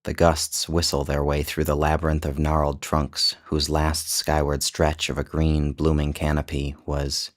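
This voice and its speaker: A man narrating his own literary short story in a measured, descriptive storytelling manner. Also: a bass voice, completely flat delivery. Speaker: author and narrator.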